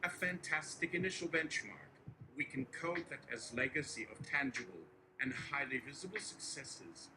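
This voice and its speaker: A man voicing a calm, clinical assessment machine that speaks in measured, scripted phrases. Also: medium pitch, lightly acted. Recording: noisy ambient background.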